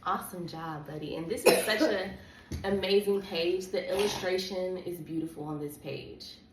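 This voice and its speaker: A woman's voice: fairly low pitch, moderate pace, recorded from a distance. A woman hosting a children's read-along, reading expressively and chatting encouragingly with a child. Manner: encouraging, coaxing. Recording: echoey room with background noise.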